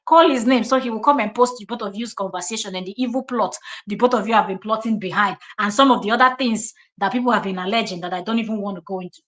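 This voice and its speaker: A woman's voice: mid-range voice, fast talker, few pauses, a bit bright. A woman with a Nigerian accent giving reaction commentary as host, confrontational and emphatic, repeating her points for stress.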